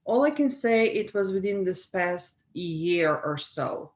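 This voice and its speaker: A middle-aged woman from Slovenia with a Slovenian accent, answering interview questions in a reflective, conversational way with small restarts and soft laughs. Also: medium pitch, expressive, slow. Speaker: guest speaker.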